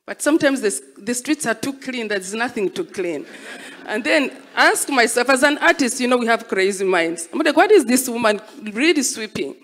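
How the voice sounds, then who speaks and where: A woman's voice: on the high side, expressive, normal speed, fairly bright tone. Female artist interviewee, reflective storyteller, emphatic with repeated phrases. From Rwandan, born and raised as a refugee abroad.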